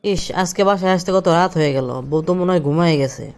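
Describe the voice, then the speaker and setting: A man's voice: sits high, quick pace, a little mellow, a little hiss or hum. A man playing an irritable, scornful two-timing husband and office boss in a cartoon drama, curt in manner. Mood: scheming. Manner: scolding, curt.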